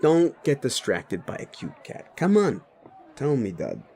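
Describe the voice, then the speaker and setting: A man's voice: medium pitch, wildly swooping pitch, a little slow, gravelly, a little mellow, a faint crowd, heavily acted. A man playing a sleazy, bullying, foul-mouthed werewolf boss in a roleplay audio drama, blunt and needling. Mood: cocky, teasing. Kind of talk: immersive roleplay audio drama addressed to listener.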